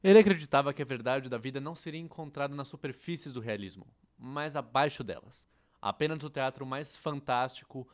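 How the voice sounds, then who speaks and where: Man, Brazilian accent, medium pitch, scripted narration, educational presenter. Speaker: educational presenter dubbing a theatre history course.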